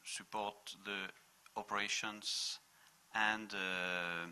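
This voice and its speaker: A man who manages water services for a company, introducing himself on a panel in a halting way with heavy fillers. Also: lowish voice, little pitch movement, bright, forceful tone, clear, resonant tone.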